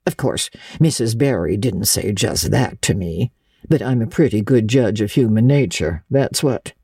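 Storybook village busybody, acted by a woman: older, brisk, emphatic, very animated, slightly husky, fond of admonishing. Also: extremely low pitch, dry recording, full character voice. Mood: knowing, scolding. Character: brisk, gossipy, bossy older village matron. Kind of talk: dramatic audiobook reading of classic novel.

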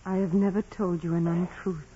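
An actress playing a humble, devout young peasant woman in 1940s radio drama style, earnest, deferential and soft-spoken. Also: lowish voice, fairly even intonation, moderate pace, a little hiss or hum, lightly acted. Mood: meek, earnest. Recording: dull, hazy vintage radio recording.

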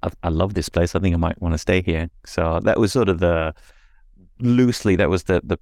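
A man from Singapore talking as an interview guest, halting and self-deprecating, laughing at himself. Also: low-pitched, steady tone, darkish tone, dry recording. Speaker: podcast guest. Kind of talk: casual interview conversation.

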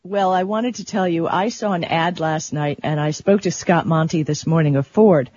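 A woman's voice: low-pitched, moderate pace, a little mellow, a little hiss or hum. An American woman chatting casually as the co-host of a talk show. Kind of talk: casual chat. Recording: dull lo-fi audio.

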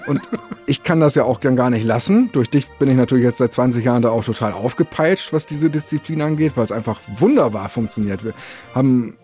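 A man's voice: medium pitch, a little fast, warm tone, faint music behind. A man hosting a casual podcast, talking in a rambling, self-deprecating way that jumps from thought to thought. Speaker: podcast host.

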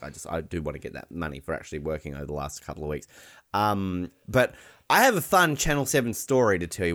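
A man's voice: low voice, fairly bright tone. A man co-hosting a podcast, chatting casually and joking, swearing freely. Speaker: podcast co-host. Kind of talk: casual comedic chat.

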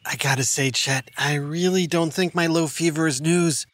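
A woman's voice: deep voice, steady tone, moderate pace, no echo, pitched below the performer's own voice, close to an everyday voice. A woman voicing a friendly kids' show narrator with a stuffed-up nose from a bad cold, quizzing listeners, recorded dry in a studio. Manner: quizzing kids with multiple-choice questions.

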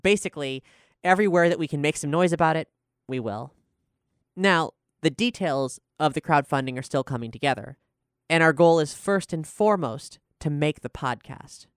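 Smooth, clear host in a dry studio. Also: medium pitch, normal speed, no echo. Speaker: podcast host and showrunner. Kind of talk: announcements and discussion on a podcast.

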